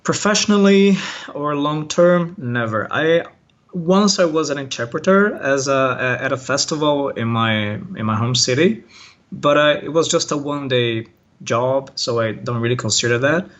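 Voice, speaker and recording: A man from Brazil, a Portuguese native speaker, talks haltingly, with plenty of fillers and restarts. His voice sits at an ordinary pitch and comes through a dull, muffled video call line. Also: fairly bright tone. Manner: hesitant, many fillers and restarts. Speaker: online language teacher, interviewee. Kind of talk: casual interview answers.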